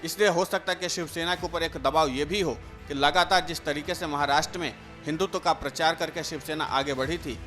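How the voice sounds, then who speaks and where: A man's voice: on the high side, fairly even intonation, a little fast, hardly any pauses, a bit bright. A man presenting scripted news narration and political-style analysis as a news presenter. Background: background music.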